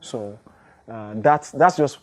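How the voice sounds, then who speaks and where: A man's voice: mid-range voice, slow pace. A young Nigerian man with a Nigerian accent, a native Igbo speaker and hip-hop artist, giving thoughtful interview answers with frequent restarts and fillers.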